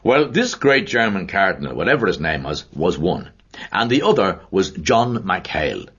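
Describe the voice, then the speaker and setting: A self-assured middle-aged gentleman lays out his story with slow, hammering emphasis, as a man reads him aloud. The voice is husky and lifted higher than the reader's own, swinging widely. It comes through a dull, lo-fi recording. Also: sing-song, heavily acted. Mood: earnest. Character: authoritative middle-aged man holding forth on church history.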